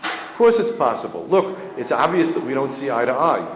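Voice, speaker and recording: A man's voice: mid-range voice, very animated. Man in his fifties, echoey hall. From United States. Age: 50s. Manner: measured, matter-of-fact, pauses to search for words. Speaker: community spokesman answering journalists. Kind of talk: press interview answers. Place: indoor hall. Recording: echoey hall, faint ambient noise.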